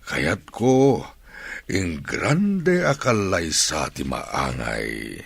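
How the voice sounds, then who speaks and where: Old ilocano country dad in a radio play, slow n husky, hearty, fond. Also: male voice, sing-song, no room sound, exaggerated voice. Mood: pleased, fatherly. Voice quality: deep, gravelly, husky. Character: aging rural father, former hunter, hearty and fond. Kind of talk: radio drama acting.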